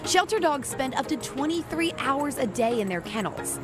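A woman's voice: high-pitched, expressive, normal speed. A young woman narrating a scripted TV feature in a polished, upbeat broadcast delivery. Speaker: TV reporter and presenter. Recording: music bed behind voice.

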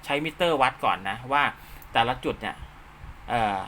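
A man's voice: medium pitch, lively intonation, normal speed, sharp tone, slight background noise. A man giving an informal hands-on tutorial step by step, often checking that the listener follows. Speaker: instructor, channel host.

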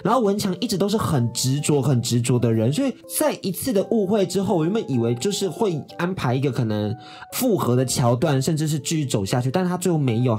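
A man's voice: medium pitch, a little mellow. A man with a Taiwanese Mandarin accent, hosting a casual interview about a book. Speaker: radio show host.

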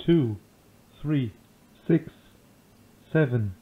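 Very slow man on phone-like audio. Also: medium pitch. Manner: flat, isolated word-by-word delivery. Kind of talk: reading single digits as for a numbers station. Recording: phone-like lo-fi 8 kHz playback.

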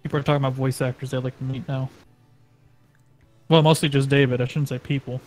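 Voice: mid-range voice, flat delivery, quick pace, darkish tone, soft background music. A person bantering casually in a teasing, joking way.

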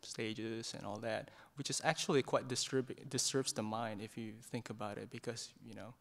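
Middle-aged monk from indonesia, gravelly voice, soft and hesitant, lots of ums, stand mic in a meditation hall. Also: male voice, on the low side, steady tone, moderate pace. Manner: hesitant, many fillers and restarts, gentle and soft-spoken. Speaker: Buddhist monk leading a book club talk. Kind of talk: informal book discussion talk. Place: meditation hall in a Buddhist centre. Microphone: stand microphone in front of his chair.

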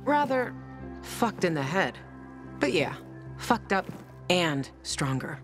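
Low-voiced, blunt young female fighter. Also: big pitch swings, slow pace, over music. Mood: wry. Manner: blunt, swearing, conversational. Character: blunt, tough, foul-mouthed young woman fighter, wry and loyal. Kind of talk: video game dialogue acting.

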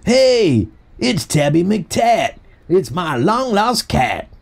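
An old male busker from a children's storybook, acted out by a man reading aloud, fond and wistful. He draws his words out slowly in a lively, swooping sing-song. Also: sits high, gravelly, pitched above the performer's own voice, full character voice. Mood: delighted. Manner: slow, drawn-out sing-song.

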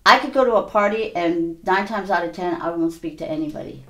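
Low-voiced older woman from Hawaii. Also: fairly bright tone, reverberant. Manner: halting, plainspoken, many "you know"s. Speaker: interview guest. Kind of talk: candid life story interview. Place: small indoor studio, seated before a backdrop.

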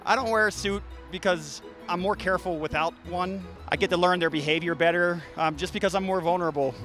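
A man's voice: high voice, brisk, soft background music. A man in his thirties, a beekeeper, talking casually outdoors into a handheld mic, with plenty of restarts and fillers. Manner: relaxed, frequent restarts and fillers. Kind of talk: casual explanation to an interviewer. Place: outdoors by beehives in a garden. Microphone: handheld interview mic.

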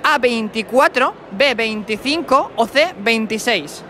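Guy in his 20s, bright sharp voice, cheeky street quiz host at a convention, handheld mic. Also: very high-pitched, animated, a little slow, a little hiss or hum. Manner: brash, mocking, playful insults. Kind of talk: teasing street quiz interview. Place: indoor convention hall. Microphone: handheld mic with furry windscreen.

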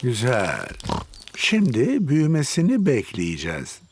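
A man's voice: medium pitch, huge pitch swings, measured pace, faint music behind. A man voicing a kindly old grandfather pig in a children's cartoon, patient and reassuring. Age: older.

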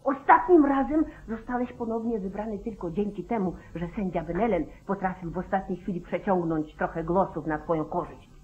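An actress playing a bossy, nagging, shrewd sheriff's wife in a 1940s radio drama. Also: female voice, medium pitch, fairly quick, hardly any pauses, a little mellow, natural voice. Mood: insistent. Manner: commanding, insistent. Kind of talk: 1940s Polish radio play acting. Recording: tinny, band-limited old 1949 radio recording.

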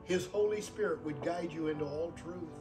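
A man's voice: fairly high pitch, moderate pace, recorded from a distance, over music, rough recording. An older man, a guest preacher in his sixties, delivering an earnest sermon and repeating phrases for emphasis. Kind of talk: sermon with altar call.

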